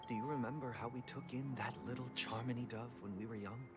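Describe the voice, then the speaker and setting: An actor playing a composed, solemn young man in a video game, a persuasive brother speaking in measured, formal phrases. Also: mid-range voice, steady tone, close to an everyday voice. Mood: quiet, probing. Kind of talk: dramatic video game dialogue. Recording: music behind the voice.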